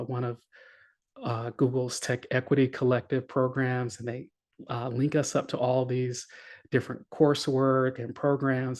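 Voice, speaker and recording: Man speaking in a flat monotone. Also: mid-range voice. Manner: hesitant, many fillers and restarts; earnest, encouraging. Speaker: conference speaker. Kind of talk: conference talk.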